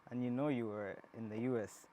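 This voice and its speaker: Man hosting an interview, from rwanda, chatty and rambling, mid-pitched voice, faint hiss behind. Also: steady tone, fairly quick, lo-fi sound. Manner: warm, rambling questions with many restarts. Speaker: interview host. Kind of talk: casual interview.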